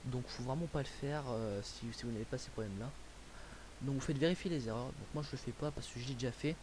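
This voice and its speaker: A man's voice: mid-range voice, fast talker. A young man from France talking through a computer tutorial in a casual, rambling way, full of fillers and restarts. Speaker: tutorial maker. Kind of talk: casual screen-recorded tutorial. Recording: hissy home recording.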